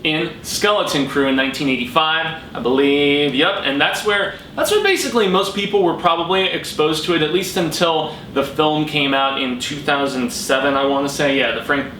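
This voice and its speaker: Bright, excitable American man in echoey room. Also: normal speed, few pauses. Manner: excitable, emphatic, rambling. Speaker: host of a horror fiction review channel. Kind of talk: casual, slangy vlog commentary. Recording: roomy echo, background noise and rumble.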